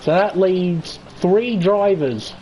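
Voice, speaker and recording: An Australian man calls a sim racing qualifying session in a chatty, enthusiastic play-by-play. His voice sits a little higher than most men's, with a mellow, soft tone. Faint background noise runs under his voice. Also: slow pace. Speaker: esports race commentator. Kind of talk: live sim racing commentary.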